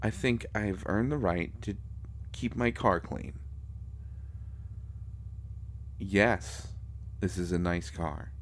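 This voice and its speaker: Guy acting as a low voiced vampire doctor, fussy n protective, teasing roleplay audio, some background noise. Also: slow. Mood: dry, defensive. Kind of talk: intimate scripted audio roleplay.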